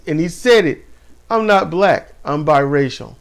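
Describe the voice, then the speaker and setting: A man in casual wrestling chat with a somewhat high voice, animated and quick to laugh. He talks straight to his viewers and calls them y'all. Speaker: podcast co-host.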